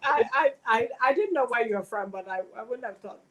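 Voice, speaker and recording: A woman's voice: fairly high pitch, animated, a little fast. A middle-aged woman from London hosting an interview over a video call, often hesitating and repeating words. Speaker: host. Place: home room.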